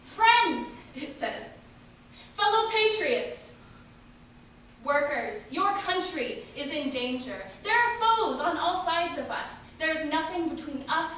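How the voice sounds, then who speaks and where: A woman's voice: sits high, big pitch swings, slow pace, fairly bright tone. A young woman giving an emphatic, dramatic reading of a political speech. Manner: emphatic oratory. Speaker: reader of historical speeches. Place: large indoor hall at a live event. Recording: very echoey hall, distant mic, faint noise.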